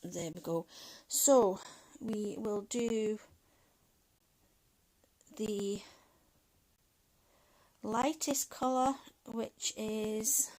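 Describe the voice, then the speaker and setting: Woman in her thirties, Mancunian with a Scottish lilt, rambling and chatty, mid-range pitch. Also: extremely slow, long pauses, a little mellow, rough recording. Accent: Mancunian English with a Scottish lilt. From England, Manchester. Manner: chatty, rambling, many fillers and restarts. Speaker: livestream host. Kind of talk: casual chat while colouring.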